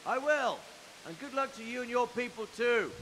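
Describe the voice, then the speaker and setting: A man's voice: very high voice, lively intonation, normal speed, fairly bright tone, long reverb tail, slight background noise, low-quality recording. An actor playing a stern, commanding medieval nobleman in a video game cutscene, formal and brusque. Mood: grave, cordial.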